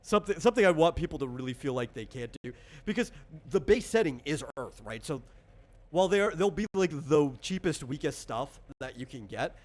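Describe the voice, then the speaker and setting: A man's voice: fairly high pitch, lively intonation, fairly quick, a little mellow. Rambling man explaining game rules casually. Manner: rambling, conversational, frequent "like" asides. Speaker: game master and designer.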